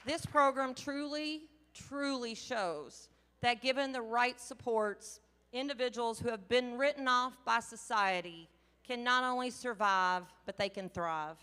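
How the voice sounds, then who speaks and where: A woman's voice: a little high, unhurried, pushed and bright, smooth, in a large hall, some chatter behind. A woman giving an earnest, emphatic speech as the event's emcee. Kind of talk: public speech. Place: large hall at a public event.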